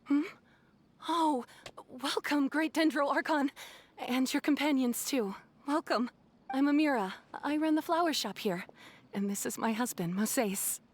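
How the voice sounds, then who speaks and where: An actress voicing a friendly woman who runs a flower shop in a video game, speaking in a welcoming, gentle way. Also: high-pitched, measured pace.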